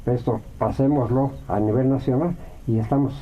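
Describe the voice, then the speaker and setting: A Mexican man speaks as a guest on a radio interview, thinking aloud and weighing each point. His voice sits at an ordinary pitch. The recording sounds a little dull, with a faint hiss underneath. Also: steady tone. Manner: reflective, thinks aloud with many pauses and fillers.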